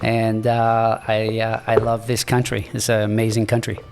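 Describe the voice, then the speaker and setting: A man in his sixties from Morocco, a native French speaker, telling his life story as a podcast guest in a halting, earnest way. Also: lowish voice, completely flat delivery, darkish tone, long reverb tail. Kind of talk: reflective storytelling in an interview.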